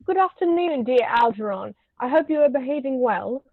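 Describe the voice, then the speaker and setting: A woman's voice: high voice, lively intonation, normal speed, no room sound. Woman playing an imperious aunt, thin phone-like sound. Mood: stern greeting. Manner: stately, haughty. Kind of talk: table read of a stage comedy. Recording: thin phone-like call audio.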